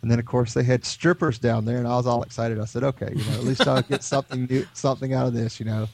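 A man's voice: medium pitch, flat delivery, fast talker, creaky, a little mellow. A man co-hosting a podcast, sick with a head cold, telling stories in a rambling, laid-back way. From Houston area. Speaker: podcast co-host. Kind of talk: casual chat.

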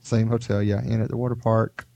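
A man's voice: on the low side, level tone, a little fast, creaky, a little mellow, no room sound. A man co-hosting a podcast, sick with a head cold, telling stories in a rambling, laid-back way. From Houston area. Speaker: podcast co-host. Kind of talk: casual chat.

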